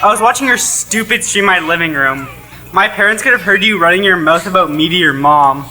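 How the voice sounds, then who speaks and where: A woman's voice: fairly low pitch, animated, brisk, sharp tone, poor audio. A woman acting an angry, accusing teenage schoolmate in a contemporary audio play.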